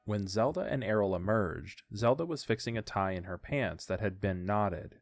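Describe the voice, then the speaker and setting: A man's voice: lowish voice, expressive, moderate pace, no room sound, soft background music. A man reading scripted story narration as the narrator of an audiodrama.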